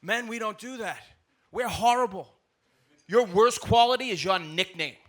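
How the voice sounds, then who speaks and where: Male stand-up, thick-of-Boston storyteller: bright, punchy, somewhat high voice, colloquial and exclamatory, live stage recording. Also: normal speed. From South Boston, United States. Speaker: stand-up comedian. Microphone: stage microphone at a live show. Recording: live stage recording with audible background noise.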